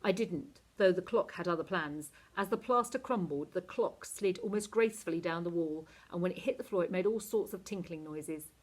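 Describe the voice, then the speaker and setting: A woman narrating a children's novel aloud in an expressive, animated teacher's reading style. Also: fairly low pitch, moderate pace, hardly any pauses, low-quality recording.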